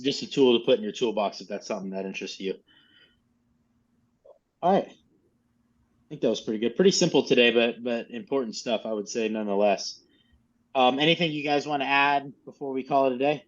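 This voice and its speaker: A man coaching a group in casual, informal, mildly profane instructional talk over a lo-fi video call. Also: lowish voice. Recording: dull, lo-fi video call audio.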